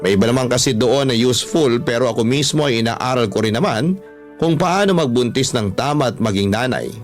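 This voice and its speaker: A Filipino man narrates a scripted story in a mid-pitched voice. He reads it as a radio storyteller, with music underneath. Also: lively intonation, brisk. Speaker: radio storyteller reading a letter. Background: background music.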